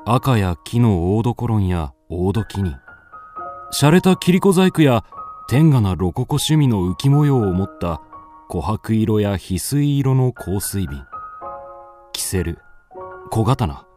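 An actor playing a brooding, melancholic man narrating his wanderings in a measured, dramatic radio drama monologue. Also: lowish voice, warm tone.

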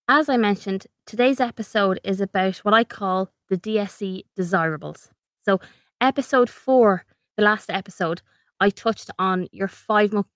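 A woman's voice: medium pitch, dry recording. Slightly snuffly Irish woman podcaster. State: slightly snuffly, getting over a cold. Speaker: podcast host. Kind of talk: solo explanatory podcast.

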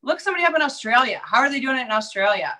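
A woman's voice: high voice, big pitch swings, racing, bright, forceful tone. A woman with a Minnesota accent, a real estate agent chatting upbeat as a guest over a video call. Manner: chatty, upbeat, many fillers. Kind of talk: casual interview chat.

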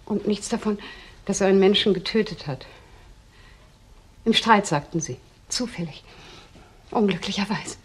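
An actress playing a proud, trusting wife in a crime drama, speaking in husky, halting, deliberate phrases. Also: female voice, medium pitch, close to an everyday voice. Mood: stunned, brooding. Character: proud, trusting wife defending her suspected husband. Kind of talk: German TV crime drama dialogue.

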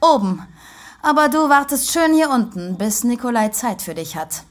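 A woman's voice: on the high side, very animated, moderate pace. A woman acting in a German audio drama as a brazen brothel receptionist, saucy, mocking and bossy.

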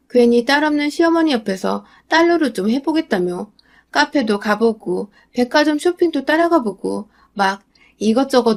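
Woman narrating a story in a high voice. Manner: steady, confiding storytelling. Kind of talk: scripted first-person radio story narration.

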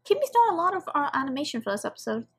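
High-voiced woman reacting, noisy recording. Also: big pitch swings, racing. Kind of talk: casual reaction commentary.

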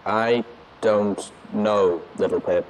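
A man's voice: fairly low pitch, steady tone, slow pace, slight background noise. Man as a hesitant, shy robot voice. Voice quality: tinny, artificial. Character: shy, hesitant robot-voiced watcher speaking through a bot.

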